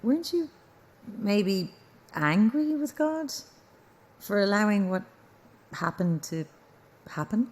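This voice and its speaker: A woman's voice: mid-range voice, big pitch swings, takes it slowly, long pauses, darkish tone. An actress playing a sceptical, stubborn female news reporter in an audio drama, with an Irish accent and a blunt, probing manner. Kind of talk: naturalistic audio drama acting.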